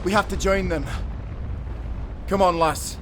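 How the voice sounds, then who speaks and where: A man's voice: sits high, a little slow, fairly bright tone, slight background noise. An actor playing a loyal young male sailor, urgent and caring, speaking earnestly to a companion in an audio adventure. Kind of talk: cinematic audio roleplay acting.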